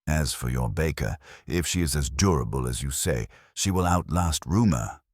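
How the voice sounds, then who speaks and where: Man doing a cool evasive consultant guy, careful corporate talk, really deep, dry recording, audiobook. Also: lively intonation, normal speed, dry recording, exaggerated voice. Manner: smooth, jargon-laden, careful diction. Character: smooth, guarded male corporate consultant, coolly evasive.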